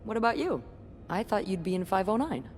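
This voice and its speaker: An actress playing a chatty, gossipy hotel coworker who talks in an animated way. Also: female voice, mid-range voice, fairly quick, soft background music, close to an everyday voice.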